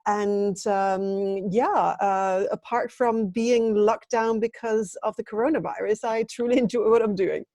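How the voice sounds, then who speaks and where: A woman's voice: mid-range voice, steady tone, a little slow, few pauses, warm tone, no room sound. A middle-aged woman from Denmark, a guest on a video call interview, answering in an earnest, thoughtful way with some fillers. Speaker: interview guest, training consultant.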